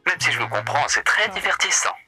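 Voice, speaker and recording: A man with a very bright, sharp voice plays a smooth hotel host in a roleplay. He draws out his hums theatrically, while soft music plays behind him. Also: high-pitched, big pitch swings, a little fast. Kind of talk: in-character roleplay chat.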